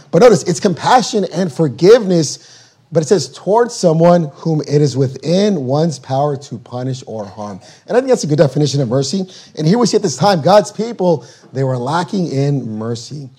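A man preaching a sermon while losing his voice, reading scripture slowly and deliberately, then explaining it in plain words. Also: a little high, dark tone. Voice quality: husky. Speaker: guest preacher.

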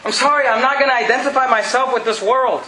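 High-voiced shouting preacher man. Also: fast talker, a little hiss or hum. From Venezuela. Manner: emphatic preaching, often shouting, repeats verse references. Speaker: pastor. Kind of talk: fiery evangelical sermon. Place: church sanctuary with congregation. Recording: echoey church with congregation responses.

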